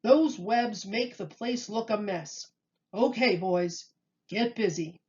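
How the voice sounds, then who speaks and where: A woman's voice: medium pitch, slow, darkish tone, lo-fi sound, natural voice. A woman voicing a bossy, commanding male zoo keeper in a children's storybook.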